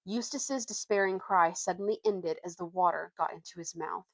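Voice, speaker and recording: A woman's voice: fairly low pitch, moderate pace, no room sound. Woman reading a storybook aloud, dry sound. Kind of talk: expressive children's book read-aloud.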